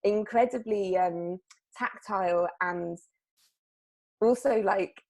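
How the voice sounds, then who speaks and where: A woman artist from Wales, chatting casually in an interview and often pausing on fillers and restarting her sentences. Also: medium pitch, darkish tone. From South Wales. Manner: hesitant, lots of fillers and restarts.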